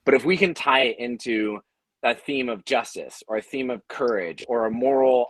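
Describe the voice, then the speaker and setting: A man with a mid-pitched voice talks as a creator on a discussion panel. He sounds thoughtful and halting, repeating words as he works out his point. The sound is dry, with very little room. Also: a bit bright, no echo, poor audio. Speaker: audio drama creator, panelist.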